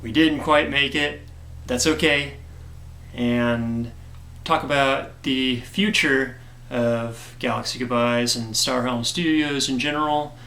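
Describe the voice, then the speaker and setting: A man who created a comic gives an earnest, grateful update to his backers, hoarse from a cold and filling his talk with "uh". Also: mid-range voice, bright, forceful tone, reverberant. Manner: earnest and grateful, many fillers. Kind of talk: casual direct-to-camera talk.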